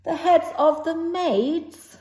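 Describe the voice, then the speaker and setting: A woman's voice: very high-pitched, takes it slowly, dark tone, pitched above the performer's own voice. A woman playing a teasing, sceptical male servant in an old stage play, with a needling, declaimed delivery. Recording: roomy, echoing sound.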